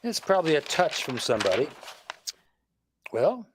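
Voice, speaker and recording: A man's voice: on the high side, very animated, moderate pace, noisy background. A man playing a witty, nonchalant amateur detective and husband in a vintage radio mystery. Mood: offhand. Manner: wry, evasive banter.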